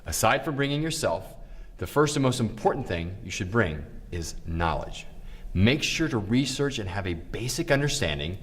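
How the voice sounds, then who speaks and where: A man's voice: lowish voice, very animated, normal speed. A man presenting acting tips in scripted narration with casual asides.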